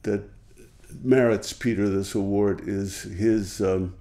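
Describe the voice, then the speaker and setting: A man with a lowish, mellow voice speaks in a measured, admiring way. He lays out praise point by point with small pauses. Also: steady tone. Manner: measured and admiring, piling up qualities. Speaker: speaker giving a tribute. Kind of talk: tribute interview.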